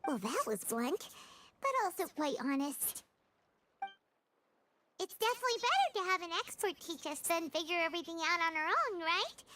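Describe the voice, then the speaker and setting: A woman voicing a small, chatty, excitable fairy companion in a video game, with a squeaky childlike voice. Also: normal speed, a little hiss or hum, heavily acted. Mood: thoughtful. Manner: bubbly, expressive, refers to self in third person. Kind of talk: English video game voice acting. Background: game music.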